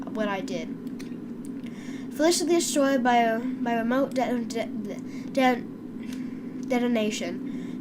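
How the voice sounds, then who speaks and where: A woman's voice: on the high side, very noisy. A woman with a stuffy voice from a cold, giving casual video game commentary and stumbling over words as she reads aloud. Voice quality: stuffy, congested. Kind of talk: casual let's play commentary, reading on-screen text aloud. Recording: loud game music behind voice.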